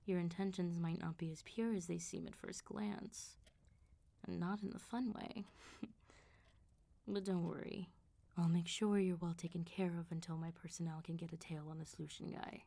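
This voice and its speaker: A woman voicing a calm, flirtatious, quietly threatening female vampire mob boss in a soft ASMR roleplay. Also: lowish voice, moderate pace. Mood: teasing, controlling. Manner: controlled, smooth-talking, teasing, quietly threatening.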